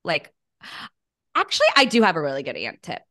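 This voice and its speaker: A young woman co-hosting a podcast, chatting casually, bubbly and quick to laugh, with some offhand swearing. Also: low-pitched, wildly swooping pitch, sharp tone. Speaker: podcast co-host. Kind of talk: casual chat.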